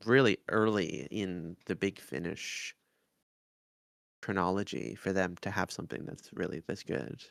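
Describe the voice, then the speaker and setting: Male podcast co-host, conversational, with noticeable vocal fry and a dry, close sound. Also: deep voice, unhurried, fairly bright tone, no room sound. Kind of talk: casual review podcast chat.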